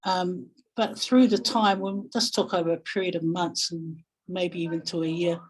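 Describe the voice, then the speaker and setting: A woman with a New Zealand accent speaking reflectively in a webinar, pausing thoughtfully and searching for her words. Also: fairly low pitch, steady tone, rough recording. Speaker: guest webinar speaker, family violence practitioner.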